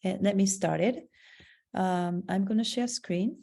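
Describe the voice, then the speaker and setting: Woman, Japanese accent, native Japanese speaker, gravelly, halting, online talk. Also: mid-range voice, warm tone. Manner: halting, searching for words. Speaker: artist presenting her work. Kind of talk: online slideshow presentation. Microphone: video call.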